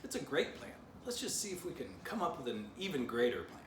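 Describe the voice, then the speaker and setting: A diplomatic storybook dog who leads the pack read by a man, reassuring and tactful. His pitch is lively. The room echoes and there is clear noise. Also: fairly quick. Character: tactful, encouraging male dog leader. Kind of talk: children's storybook character voice. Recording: distant mic, roomy, noisy.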